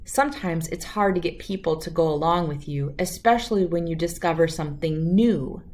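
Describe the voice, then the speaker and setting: A woman reading a children's picture book aloud as an expressive storyteller. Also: lowish voice, normal speed.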